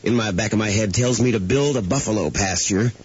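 A man's voice: fairly low pitch, a little fast, a little hiss or hum. A man co-hosting a morning radio show, trading teasing, wisecracking banter. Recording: muffled lo-fi radio aircheck.

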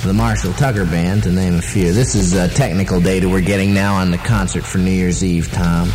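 A man's voice: low-pitched, steady tone, a little fast, over music, rough recording. A man co-hosting a radio show, chatting casually and interjecting playful questions to his partner. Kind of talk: casual banter on air.

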